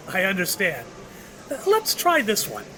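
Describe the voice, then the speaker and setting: Man playing a high-voiced, cryptic shopkeeper. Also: huge pitch swings, a little slow, pushed and bright, exaggerated voice. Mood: genial, wry. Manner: courteous, hesitant, cryptic. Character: mysterious, apologetic male shopkeeper offering tastes of time. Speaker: actor in an audio play. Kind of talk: audio drama acting. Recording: clearly noisy background.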